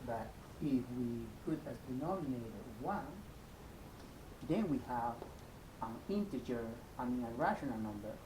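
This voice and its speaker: A man from El Salvador with a Salvadoran Spanish accent teaches math in an informal, step-by-step way, repeating himself and checking in as he goes. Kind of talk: informal video lesson. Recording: echoey room, clear rumbling noise.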